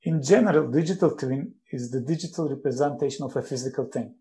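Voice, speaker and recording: A man in his forties from Turkey, speaking English, who answers interview questions in a measured, explanatory way. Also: mid-range voice, warm tone. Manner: measured, explanatory, with some restarts. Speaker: guest, company founder.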